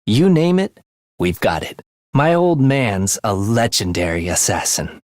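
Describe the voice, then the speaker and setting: A man's voice: mid-range voice, no echo, studio quality, close to an everyday voice. A man voicing a reluctant teenage assassin in a video game, polite and conversational, matter-of-fact about killing. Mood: casual, confiding, then calm, playful menace. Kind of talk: scripted video game character monologue.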